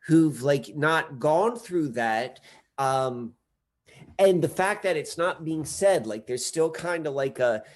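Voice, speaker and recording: A man's voice: a little high, lively intonation, slow pace. A man in his forties, a podcast guest, talking casually in a rambling way with many fillers and restarts. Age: 40s. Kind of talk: casual conversation.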